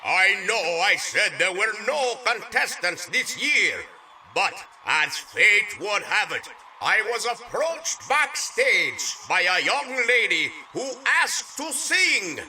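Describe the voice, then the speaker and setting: A man voicing a brash, showy contest emcee in an animated film. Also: high-pitched, slow pace, heavily acted. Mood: showy, hyped. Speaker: show announcer. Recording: distant, faint stage noise.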